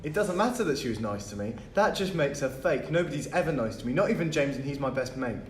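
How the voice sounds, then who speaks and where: A man's voice: medium pitch, animated, fast, close to an everyday voice. A man playing a troubled, bitter teenage schoolboy in a script read-through. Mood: bitter, angry. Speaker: actor at a script table read. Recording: roomy echo with faint noise.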